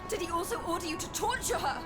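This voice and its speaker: Shrill, defiant young daughter. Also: female voice, expressive, fast talker. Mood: outraged. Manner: shrill, accusing. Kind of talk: dramatic video game acting. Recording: loud music behind the voice.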